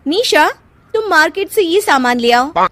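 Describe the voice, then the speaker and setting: A woman's voice: high-pitched, animated, measured pace, fairly bright tone, pitched above the performer's own voice. A woman voicing a spoiled, scheming elder sister-in-law in a cartoon drama, haughty, whiny and demanding.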